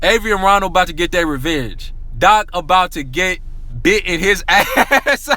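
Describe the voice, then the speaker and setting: Hyped, bright-voiced man ranting about basketball. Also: sits high, normal speed, no room sound. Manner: excitable, repetitive, slangy, addresses viewers as y'all. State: losing his voice. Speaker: sports talk vlogger. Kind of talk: hyped casual basketball rant.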